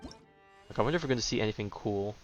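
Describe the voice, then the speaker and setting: A man's voice: lowish voice, fast talker, faint music behind. A man doing casual commentary as he plays a game, chatting and thinking aloud as a streamer. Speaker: gaming streamer.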